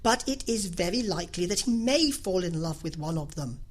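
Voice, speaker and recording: A woman reader acts out a fussy middle-aged wife from a classic novel. She nags and exclaims with emphatic self-pity, her pitch swinging high and low in a slightly husky voice. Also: expressive, normal speed. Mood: exasperated, insistent.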